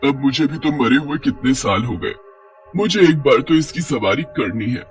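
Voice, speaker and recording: A man voicing a willful, excitable female witch risen from the grave in a horror storybook tale. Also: mid-range voice, moderate pace, faint music behind, lightly acted. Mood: curious, covetous. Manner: self-absorbed, excitable.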